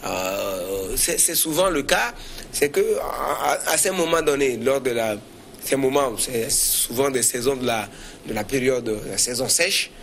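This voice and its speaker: Male debate panelist, mid-pitched, halting and repetitive delivery, slight noise behind. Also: lively intonation, creaky, fairly bright tone. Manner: hesitant, halting delivery with repeated words. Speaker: panelist on a current affairs debate. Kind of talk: spontaneous political commentary in a discussion.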